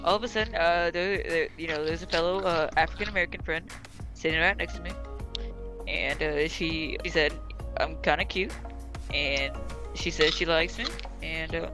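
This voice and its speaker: A man telling a rambling comic anecdote among friends, halting and often referring to himself in the third person. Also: fairly high pitch. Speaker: storyteller. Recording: music playing behind the voice.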